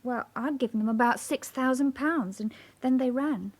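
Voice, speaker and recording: High-voiced bank clerk witness. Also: female voice, normal speed. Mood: shaken, recounting. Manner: orderly, detailed recounting. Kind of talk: naturalistic radio drama acting.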